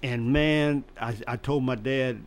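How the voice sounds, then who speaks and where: Older man telling lively stories. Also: medium pitch, slow. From Chicago. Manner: animated, laughs through his stories. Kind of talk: casual storytelling.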